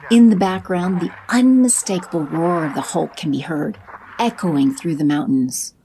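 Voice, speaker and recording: Low, mellow woman narrator. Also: normal speed, a little hiss or hum, rough recording. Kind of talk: scripted audio drama narration.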